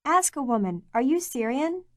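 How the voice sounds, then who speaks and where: Clear, measured woman narrating a language course. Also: high-pitched, big pitch swings, a bit bright, no room sound, clean studio sound. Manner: clear, measured, prompting the learner. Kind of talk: scripted instructional narration.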